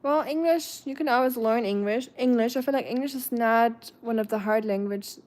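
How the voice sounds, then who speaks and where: German lady streamer w/ german accent, kinda high voice, chatting w/ her viewers all warm n chatty, lots of ums. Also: normal speed. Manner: chatty and warm toward viewers, with frequent fillers and soft laughs. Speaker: livestream host. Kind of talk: casual chat, reading viewer comments aloud.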